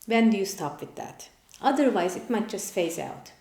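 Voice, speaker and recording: Measured woman explaining in a roomy echo. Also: mid-range voice, lively intonation, gravelly, a little mellow. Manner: measured, explanatory, listing points one by one. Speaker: parent and channel host. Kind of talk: scripted explainer. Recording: roomy echoey room.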